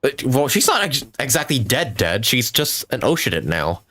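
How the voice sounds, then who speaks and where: A man giving casual gameplay commentary as a streamer, speaking in a halting, stammering way. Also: medium pitch, sing-song, sharp tone. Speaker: game streamer. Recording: game music behind.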